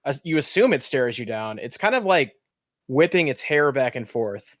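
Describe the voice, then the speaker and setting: A man's voice: mid-range voice, big pitch swings, normal speed, no echo, clean studio sound. A man narrating a tabletop game as game master and podcast host.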